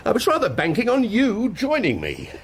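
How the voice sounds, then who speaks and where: An actor playing a pompous, scheming former police detective with a British accent and a grandiose, imperious delivery in a radio drama. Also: male voice, sits high, wildly swooping pitch, moderate pace, breathy edge, exaggerated voice. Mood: wheedling, pompous. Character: pompous scheming ex-police detective turned private art investigator.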